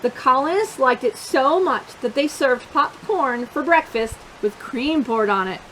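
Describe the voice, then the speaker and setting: A woman reading a picture book aloud to children as a storytime reader, expressive and emphatic, drawing out key words and adding little asides to her young listeners. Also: high voice, measured pace, a little hiss or hum, poor audio.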